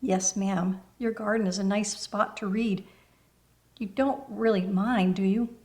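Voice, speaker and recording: A woman's voice: mid-range voice, fairly even intonation, unhurried, darkish tone, close to an everyday voice. A woman voicing a shy, polite, self-doubting young girl in a children's storybook, hesitant and deferential. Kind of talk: children's storybook read-aloud.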